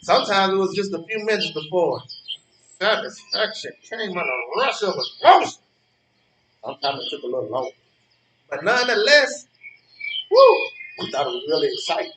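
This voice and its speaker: A man's voice: high voice, very animated, moderate pace, pauses often, fairly bright tone, echoey room, a little hiss or hum, poor audio. A man playing a smug old man in a stage play, needling his rival with mock-genteel, theatrical taunts. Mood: smug, suggestive.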